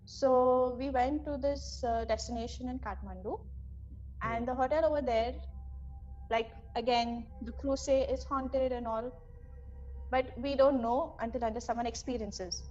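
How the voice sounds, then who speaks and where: High-voiced young Indian woman telling a story. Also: steady tone, unhurried, darkish tone, dull audio. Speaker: guest storyteller, cabin crew. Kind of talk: casual spoken storytelling.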